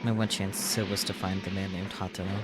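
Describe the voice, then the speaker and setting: A man's voice: low voice, level tone, fairly quick, over loud music. A man who streams games, reading visual novel text aloud as narration.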